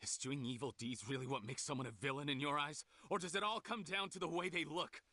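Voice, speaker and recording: A man's voice: a little high, a little fast, bright, forceful tone, dry recording. A man playing a bitter, defiant criminal researcher in a video game, theatrical and scornful. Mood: defiant, indignant.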